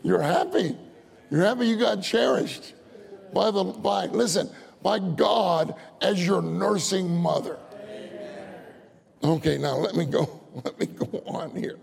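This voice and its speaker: Gravelly older man preaching in a hall. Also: sits high, slow, darkish tone. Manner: folksy storytelling, laughs often, acts out voices. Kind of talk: sermon. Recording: long hall reverb.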